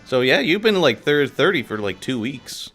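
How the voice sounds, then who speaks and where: A man's voice: medium pitch, very animated, moderate pace, a bit bright, faint music behind. A man of twenty-seven co-hosting a let's play with casual banter and sweary, joking asides. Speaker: gameplay video co-host.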